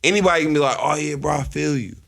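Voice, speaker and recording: A man's voice: on the high side, rapid-fire, gravelly. A man in his twenties with a strong voice, arguing his point and holding the floor in a casual podcast chat. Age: 20s. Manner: forceful, holds the floor, argues his point. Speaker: podcast co-host.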